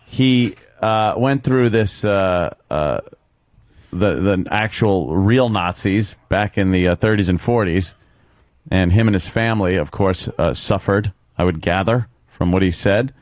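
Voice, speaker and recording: A man's voice: sits low, no echo. Man co-hosting radio, dry close sound. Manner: rambling, many "uh" pauses, profane. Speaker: radio show co-host. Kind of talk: casual radio banter. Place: radio studio.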